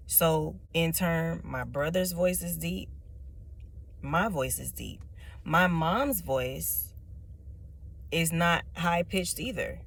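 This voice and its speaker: A woman with a notably deep, rich speaking voice, well below the usual range for women. She talks straight to her viewers in a firm, emphatic way, restating each point and checking in with a quick tag question. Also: slight background noise. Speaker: vlogger. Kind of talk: direct-to-camera personal talk.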